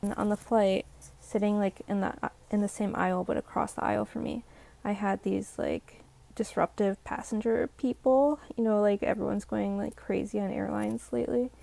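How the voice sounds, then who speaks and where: A young woman, slightly hoarse, telling a rambling casual storytime full of "like" fillers. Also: medium pitch, fairly even intonation, moderate pace, warm tone. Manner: rambling, heavy use of "like" fillers. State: slightly hoarse from a sore throat. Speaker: vlogger.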